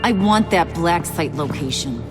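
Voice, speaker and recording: A woman's voice: lowish voice, animated, unhurried. A woman playing a playful but menacing female gang boss in a video game, slangy, bossy and teasing. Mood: commanding. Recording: music behind the voice.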